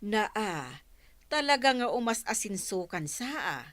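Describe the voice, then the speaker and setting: A woman's voice: mid-range voice, sing-song, unhurried, a bit bright, no room sound. An actress with an Ilocano accent playing a nagging, suspicious woman in a radio comedy-drama. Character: nagging, suspicious female friend of the heroine.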